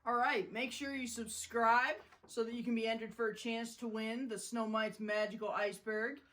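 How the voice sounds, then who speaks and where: A woman narrating a children's storybook in an expressive, deliberate storytelling manner. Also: a little high, recorded from a distance. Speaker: host reading a children's story.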